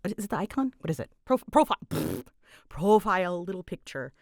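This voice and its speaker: A woman's voice: on the low side, very animated, fairly quick, no room sound, studio quality. A woman chatting casually and unscripted as she answers listener questions, nervous and giggly, often interrupting herself and bouncing between thoughts. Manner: scattered, rambling, jumps between thoughts. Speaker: audio creator and voice actor answering listener questions.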